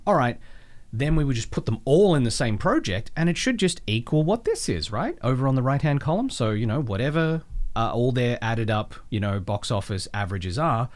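A man's voice: medium pitch, lively intonation, brisk. A man with an Australian accent telling stories casually as an interview guest, wry and jokey, often acting out imagined bits of dialogue. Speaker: podcast guest, voice actor. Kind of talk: casual conversational storytelling.

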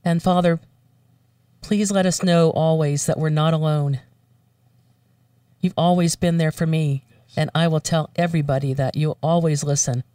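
A woman's voice: sits low, fairly even intonation, dark tone, smooth, dry recording, clean studio sound, recorded from a distance. Earnest woman guest, heard a bit off-mic. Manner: earnest, halting, with fillers and restarts. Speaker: guest, voiceover artist. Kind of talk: spoken prayer and casual chat.

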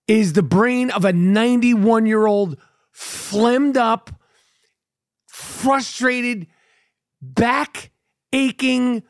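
A man's voice: high voice, vocal fry, no room sound, clean studio sound. A brash, profane man in his fifties with a New York accent, hosting a casual podcast and making mock demands. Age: 50s. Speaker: podcast host.

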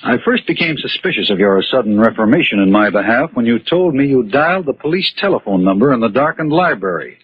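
An actor playing an earnest, level-headed suspect turned sleuth in a 1940s radio mystery, speaking in a measured, articulate way. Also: male voice, medium pitch, very animated, husky. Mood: grave, accusing. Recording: muffled, noisy 1940s radio recording.

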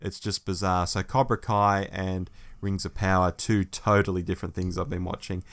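Male co-host with a fairly low voice, informal fan chat, emphatic and opinionated. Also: little pitch movement, normal speed. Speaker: podcast co-host. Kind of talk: casual fan podcast chat.

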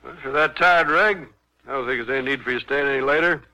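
An actor playing a calm, easygoing small-town American sheriff in a 1950s radio drama. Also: male voice, medium pitch, fast. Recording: old 1950s radio recording.

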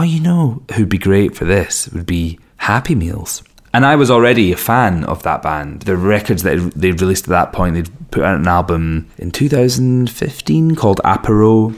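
A man with a slightly raspy, worn throat hosts a podcast in a casual, rambling way with wry, self-mocking asides. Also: low-pitched. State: strained throat, losing his voice. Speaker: podcast host and record label owner. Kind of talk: casual solo podcast introduction.

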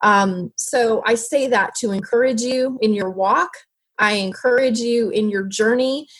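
A woman's voice: on the high side, a little slow, fairly bright tone, slightly rough, poor audio. Earnest woman giving encouragement. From moved around as a military child. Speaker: interview guest, foster parent. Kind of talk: encouraging advice.

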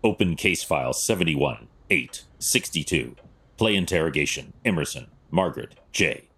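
An actor playing a stern, brooding masked vigilante detective in an audio drama, gravelly and husky, speaking in terse commands. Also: male voice, extremely low pitch, animated, normal speed, pushed and bright, exaggerated voice. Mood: terse, commanding.

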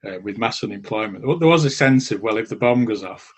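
A man's voice: on the low side, animated, quick pace, husky. A middle-aged man, chatting wryly and restarting his sentences on a gaming podcast. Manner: chatty, stumbling restarts, wry. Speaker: podcast co-host. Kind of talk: casual chat.

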